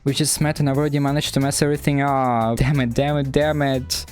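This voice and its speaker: A man's voice: mid-range voice, little pitch movement, fairly quick, a little mellow, soft background music, voice pushed up, close to an everyday voice. A man voicing an anxious, overthinking schoolgirl narrator in a wordy, self-doubting visual novel monologue. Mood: flustered, self-reproaching. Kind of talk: visual novel read-aloud.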